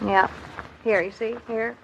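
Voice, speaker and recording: An actress playing a brisk, practical neighbor woman in a 1950s radio sitcom. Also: on the high side, fairly even intonation, highs cut off, a little hiss or hum. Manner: brisk and matter-of-fact.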